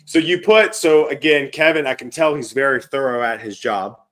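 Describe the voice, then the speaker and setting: A man hosting a casual interview on a video call, enthusiastic and quick to affirm his guest. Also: mid-range voice, a bit bright. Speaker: host.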